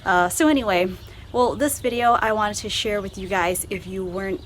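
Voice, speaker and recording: A woman's voice: mid-range voice, moderate pace, slight background noise. A young woman hosting her gardening channel, chatting casually with easy fillers outdoors in her garden. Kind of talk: casual vlog intro.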